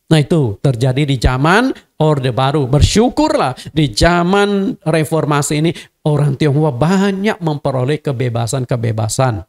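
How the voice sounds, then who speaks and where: A man's voice: on the high side, animated, moderate pace, a little mellow. A middle-aged man from Indonesia, a native Hakka speaker, giving a conversational sermon as a pastor and speaking directly to his congregation. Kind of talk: sermon teaching.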